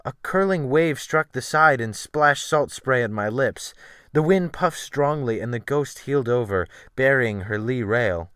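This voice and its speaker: A man giving a theatrical, expressive first-person dramatic reading of a literary sea tale as an audiobook narrator. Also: medium pitch, a little slow, clean tone, dry recording, studio quality. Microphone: USB desktop microphone.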